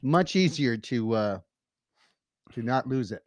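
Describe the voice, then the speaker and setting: A man's voice: medium pitch, expressive, slow, no echo. A man co-hosting a comedy podcast in casual banter, with dry, joking asides.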